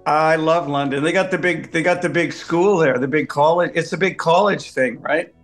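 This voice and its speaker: A man's voice: fairly high pitch, normal speed, fairly bright tone, soft background music. A man from Canada, a comedian guest on a video call, telling wry, complaining stories in a casual interview.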